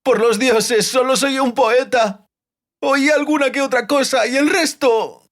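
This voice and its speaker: A man's voice: extremely high pitch, fairly even intonation, a little slow, fairly bright tone, voice pushed up. A man voicing a terrified captive bard in an audiobook, breathless and whimpering as he pleads and rambles through frantic denials. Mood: panicked pleading.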